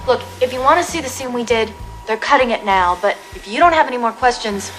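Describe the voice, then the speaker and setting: Casting: woman as breezy adult-film actress and working mom, lightly high voice, matter-of-fact, music underneath. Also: animated, fast talker, fairly bright tone. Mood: brisk. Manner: casual, matter-of-fact, chatty. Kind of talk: naturalistic audio drama dialogue.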